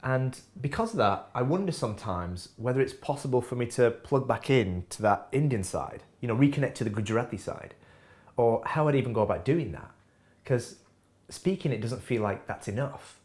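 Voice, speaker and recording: Male host, kitchen setting, reflective and questioning, pitch that rises and falls a lot. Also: expressive, moderate pace, a little mellow. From England. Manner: musing, questioning, breaks into laughs. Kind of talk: scripted reflective talk to camera. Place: home kitchen.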